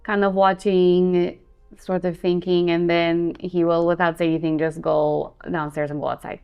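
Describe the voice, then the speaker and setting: Smooth-voiced woman, slightly muffled recording. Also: lowish voice, little pitch movement, normal speed, soft background music. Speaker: tabletop game player. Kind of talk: casual game chat.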